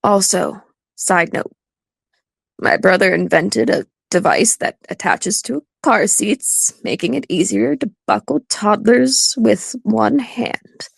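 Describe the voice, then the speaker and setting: Woman playing a slow, creaky-voiced lawyer. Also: mid-range voice, no room sound, full character voice. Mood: chatty. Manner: measured, firm, advisory. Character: composed, pragmatic female divorce attorney, reassuring. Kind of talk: community theatre audio play acting.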